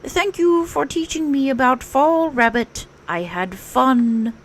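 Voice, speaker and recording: A woman voicing a gentle male storybook bear, speaking slowly in a drawn-out, musing way. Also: high voice, voice pushed up, heavily acted. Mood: grateful. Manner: slow, drawn-out, musing delivery. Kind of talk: children's storybook character voice.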